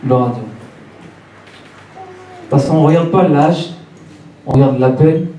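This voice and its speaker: Roomy-sounding male preacher, mellow voice. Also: on the high side, slow. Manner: didactic, repeating phrases for emphasis. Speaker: pastor preaching in church. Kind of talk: Pentecostal sermon with pauses and repeated phrases. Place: church hall, preaching to a congregation. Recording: roomy church, distant mic, faint noise.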